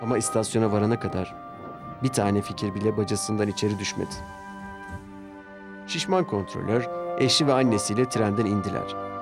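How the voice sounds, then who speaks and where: A man's voice: lowish voice, fairly quick, pauses often. A man narrating a scripted children's story as the storyteller. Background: background music.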